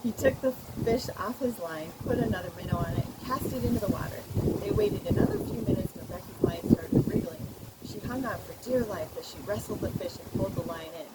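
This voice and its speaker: A woman, a children's author, reading a storybook aloud in an expressive, amused way. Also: fairly high pitch, brisk. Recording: noisy recording with loud background noise.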